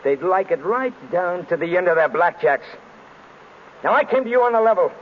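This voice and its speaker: An actor playing a tough, jittery gangster with clipped, slangy hoodlum talk, in 1930s radio drama style. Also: male voice, high voice, animated, moderate pace. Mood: insistent. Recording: noisy muffled vintage radio recording.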